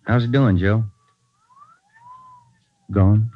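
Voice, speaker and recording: An American actor playing a gruff, weary police sergeant in a 1940s radio crime drama, laconic and blunt. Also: male voice, lowish voice, fairly even intonation, very slow. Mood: subdued. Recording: dull, band-limited old radio recording.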